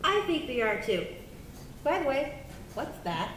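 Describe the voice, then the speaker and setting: A woman's voice: very animated, unhurried. A woman voicing a naive, curious young puppet in a children's show, with a simple, innocent manner. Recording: echoey room, rumble, slightly noisy.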